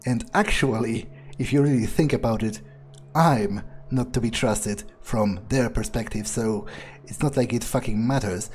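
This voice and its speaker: Guy doing in-character game playthrough, mellow dark voice, swears a lot, blunt, mulls stuff over, faint music behind. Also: mid-range voice, measured pace. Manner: profane, blunt, hesitant musing. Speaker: video game player role-playing a character. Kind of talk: in-character playthrough commentary, thinking aloud.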